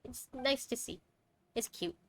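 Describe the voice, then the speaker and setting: Young woman streamer, casual and chatty, muses aloud over the plot. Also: measured pace. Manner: chatty, thinking out loud, self-correcting. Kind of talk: casual game commentary.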